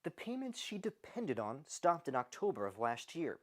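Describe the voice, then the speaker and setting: A woman reporter reading a scripted news voice-over in a measured, emphatic way. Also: low voice, sing-song.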